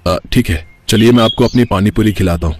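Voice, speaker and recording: A man's voice: fairly low pitch, fast talker, a little hiss or hum, lightly acted. A man playing a devoted young brother-in-law in a cartoon story, eager and respectful. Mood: playful. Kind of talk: cartoon story character acting.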